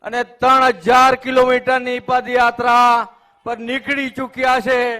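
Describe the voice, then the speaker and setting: Guy announcing at a rally, super high pitch but kinda monotone, formal. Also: slow pace. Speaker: event announcer.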